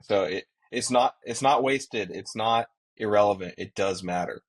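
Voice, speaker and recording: A man with a fairly low voice talks as a podcast co-host. He explains things earnestly in a casual, conversational style, like a working pilot speaking to listeners. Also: moderate pace, breathy edge.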